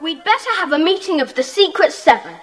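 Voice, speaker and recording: Bossy, high-pitched schoolboy leader. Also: female voice, lively intonation, faint music behind. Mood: earnest, organising. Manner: bossy, earnest, brisk. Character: bossy, eager schoolboy leader of a children's secret society. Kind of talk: children's audiobook dramatisation.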